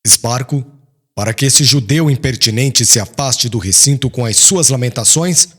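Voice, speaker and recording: A man's voice: mid-range voice, moderate pace, fairly bright tone, slightly rough. Man voicing a cold, implacable Roman judge. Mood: cold, stern. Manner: cold, commanding. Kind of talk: audiobook character acting.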